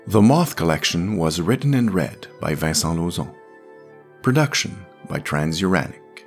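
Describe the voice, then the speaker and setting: A man's voice: low-pitched, expressive, moderate pace, soft background music. A man reading scripted narration and announcements as the writer and narrator of a podcast.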